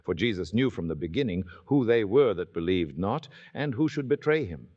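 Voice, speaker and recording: A man's voice: lowish voice, sing-song, moderate pace, darkish tone, muffled recording. A man reading scripture aloud in a solemn, declamatory manner, in the dramatic style of old-time radio. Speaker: scripture reader.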